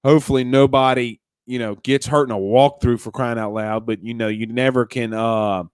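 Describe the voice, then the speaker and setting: A young man rambles through a casual live sports chat, restarting his sentences and calling listeners man and bro. His voice is smooth and resonant, a bit congested, and it sits at a middling pitch. The sound is close and dry, with no room echo. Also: steady tone, moderate pace. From Louisiana. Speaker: sports podcast host. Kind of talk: casual live-stream chat reading comments.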